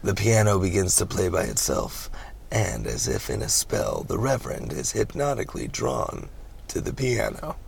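A man's voice: deep voice, big pitch swings, moderate pace, creaky, slight background noise, exaggerated voice. A man playing a dramatic movie narrator, reading scripted stage directions. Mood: measured drama.

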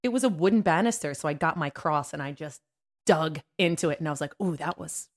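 A woman's voice: low-pitched, fairly quick. A woman in her thirties chatting and telling stories casually as a podcast co-host, in a playful, teasing way. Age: 30s. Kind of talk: casual chat and storytelling.

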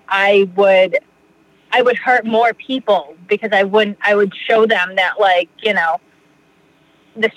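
Bright-voiced middle-aged woman telling her story. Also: medium pitch, dry recording, poor audio. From Massachusetts. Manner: rambling, many fillers, quick laughs. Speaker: podcast guest. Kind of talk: casual storytelling in an interview.

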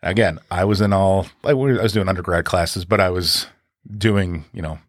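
Deep-voiced man, relaxed storyteller. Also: normal speed, dry recording, clean studio sound. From Wisconsin. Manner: relaxed storyteller, many fillers and restarts. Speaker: podcast guest. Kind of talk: casual conversational interview.

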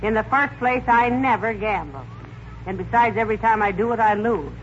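An actress playing a sensible, polite housewife with a dry, plain-spoken manner in a 1940s radio comedy. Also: female voice, a little high, normal speed, close to an everyday voice. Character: sensible housewife, polite to guests, dryly teasing her husband. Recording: dull, noisy 1940s radio recording.

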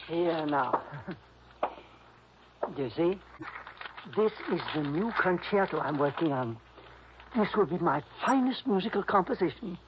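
An actor playing a sweet, dreamy, eccentric older composer, rambling and hesitant in a radio drama. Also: male voice, fairly high pitch, animated, slow pace, long pauses, noisy background. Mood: dreamy, delighted. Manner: rambling, fluttery, hesitant, chuckling. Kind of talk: 1960s radio drama acting. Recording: dull, lo-fi old radio recording.

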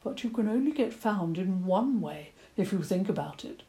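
A woman voicing a gentle, courteous old male badger who stammers a little. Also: medium pitch, animated, moderate pace, natural voice. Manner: stammering, courteous, slightly eccentric.

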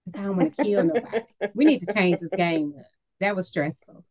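Woman podcast co-host, lowish voice, playful and joking, dry close mic. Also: a little fast, darkish tone, husky, dry recording. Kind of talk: conversational podcast chat.

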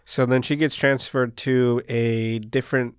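A man whose first language is Spanish, co-hosting a casual anime podcast and recapping plots in a relaxed, rambling way. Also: medium pitch, unhurried, smooth, dry recording, clean studio sound. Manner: laid-back, rambling plot recaps. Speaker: podcast co-host.

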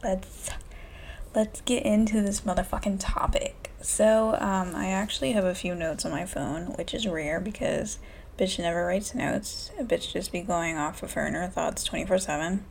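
A woman in her twenties hosting a solo podcast, talking low and hushed in a candid, profane casual chat from a room in a small house. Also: moderate pace, creaky, a little hiss or hum. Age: 20s. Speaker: podcast host. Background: faint classical music.

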